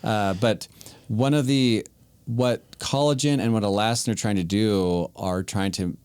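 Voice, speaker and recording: A man's voice: lowish voice, unhurried, darkish tone, clean tone, dry recording. A man in his thirties co-hosting a podcast as a physician assistant, easygoing and prone to joking. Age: 30s. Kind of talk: casual podcast chat.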